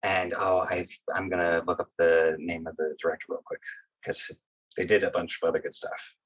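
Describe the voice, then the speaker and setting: A man with a fairly low voice chats as a podcast guest. His delivery is casual and halting, as he thinks aloud. The recording is a little dull and lo-fi. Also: little pitch movement. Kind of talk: casual chat, giving recommendations. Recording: slightly dull, lo-fi audio.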